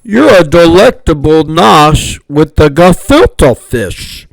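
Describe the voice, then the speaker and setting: A man voicing a hungry old storybook grandfather who calls out loudly. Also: a little high, very animated, slow, gravelly, no echo, heavily acted, low-quality recording. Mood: exclaiming. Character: old grandpa cook calling out, hungry and loud.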